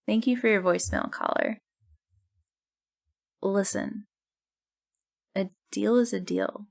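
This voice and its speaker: A woman playing a mysterious, tender host of an otherworldly late-night radio show, speaking in a measured, cryptic, intimate monologue. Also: mid-range voice, creaky. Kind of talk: scripted monologue in a fiction podcast styled as radio.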